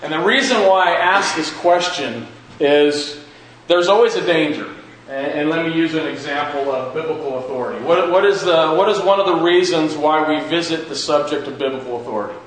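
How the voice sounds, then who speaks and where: A man with a slightly high voice leads a Bible class in a very reverberant room. He speaks informally, circling back over his questions and rewording them for the group. There is faint noise in the recording. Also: a little fast, fairly bright tone. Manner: repeats and rephrases questions for emphasis. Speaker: Bible class teacher at a church. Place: large echoey indoor room with a class present.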